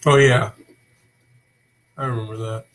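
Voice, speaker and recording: A young man hosting a casual wrestling talk show, opening with loud hype and then rambling with fillers. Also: mid-range voice, fairly even intonation, a little slow, a little hiss or hum. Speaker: host. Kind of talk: casual wrestling podcast chat.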